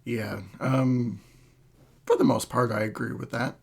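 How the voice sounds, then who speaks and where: A man hosting a casual podcast chat, talking in a halting, hedging way as he thinks aloud. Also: mid-range voice, steady tone, gravelly, slightly rough. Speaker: podcast host.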